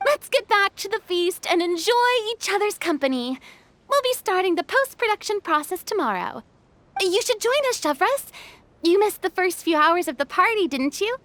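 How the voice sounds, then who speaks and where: A woman's voice: very high-pitched, big pitch swings, normal speed, fairly bright tone, no echo, exaggerated voice. A woman playing a small, cheerful, bossy female character in an anime game. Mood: upbeat.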